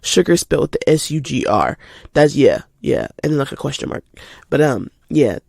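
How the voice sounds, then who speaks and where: An eighteen-year-old woman chats casually and spontaneously as a podcast host, animated and informal, slightly stuffy from a cold. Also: a bass voice, normal speed, creaky, no room sound, clean studio sound. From United States, lives in Georgia. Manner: animated, informal, addresses listeners as y'all. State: recovering from a cold.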